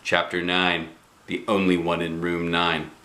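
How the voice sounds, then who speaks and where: A man reading a children's book aloud in an expressive storytelling style. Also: low-pitched, a little slow, reverberant, a little hiss or hum. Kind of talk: animated storybook narration.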